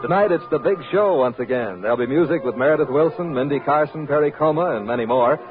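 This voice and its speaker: A man's voice: fairly high pitch, lively intonation, quick pace. A man announcing in a 1950s network radio style, on an old broadcast recording. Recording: tinny old radio broadcast, music behind. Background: music.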